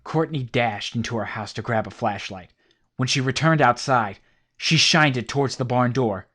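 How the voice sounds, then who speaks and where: A man narrating a horror story in a steady, scripted, documentary-like way. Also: mid-range voice, normal speed. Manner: steady documentary-style telling.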